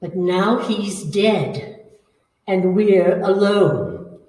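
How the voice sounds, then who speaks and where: A woman playing a menacing, bloodthirsty old female vampire in old-time radio horror style, with commanding, drawn-out phrasing. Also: medium pitch, lively intonation, warm tone. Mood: menacing, hungry. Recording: echoey hall, far from the mic.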